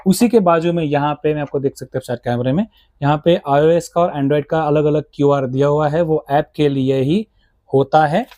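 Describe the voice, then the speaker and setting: A man's voice: on the high side, fairly even intonation, moderate pace, dark tone, no echo. A man with an Indian accent, speaking as a shop seller who explains products in detail, conversationally switching between two languages. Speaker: motorcycle gear shop seller. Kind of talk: conversational product explanation in mixed Hindi and English.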